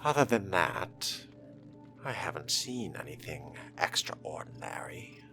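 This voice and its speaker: A man playing a brooding, menacing young fledgling vampire in a game roleplay, murmuring in deliberate, formal words. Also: sits low, lively intonation, exaggerated voice. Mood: wary. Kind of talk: in-character game roleplay narration. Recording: music behind the voice.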